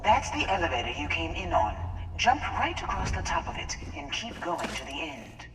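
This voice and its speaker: An actress playing a female ally who gives calm, steady directions over a comm link. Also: low voice, normal speed. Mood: guiding. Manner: steady, instructive. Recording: distant, echoey, noisy channel.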